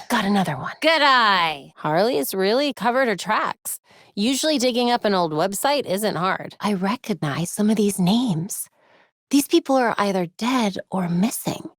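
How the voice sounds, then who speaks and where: Witty young superhero woman, lively. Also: mid-range voice, dry recording, clean studio sound. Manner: wry, quippy, conversational. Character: young female superhero vigilante, witty, determined, brainy hacker. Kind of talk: video game voice acting.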